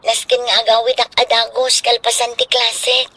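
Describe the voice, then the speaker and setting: An actress with a northern Philippine accent playing a dutiful, gentle young student daughter, respectful and sweet, in a radio drama. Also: female voice, a little high, level tone, moderate pace. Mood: polite, reluctant.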